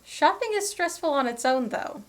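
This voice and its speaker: A woman's voice: high-pitched, animated, normal speed, slight background noise. A woman streaming a game, giving casual commentary as she plays through the story. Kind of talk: casual playthrough commentary and reading along.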